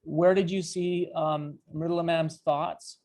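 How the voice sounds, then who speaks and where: A man's voice: on the high side, fairly even intonation, unhurried, darkish tone. A man facilitating a training discussion on an online video call, prompting participants to share. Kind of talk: leading a group discussion.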